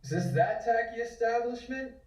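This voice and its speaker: Cocky Prohibition-era wise guy, put on by a man, high-pitched, in an echoey room. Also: expressive, normal speed, a little mellow. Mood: brash, breezy. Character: brash, cocky 1920s wise guy with a cane. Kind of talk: amateur audio drama table read.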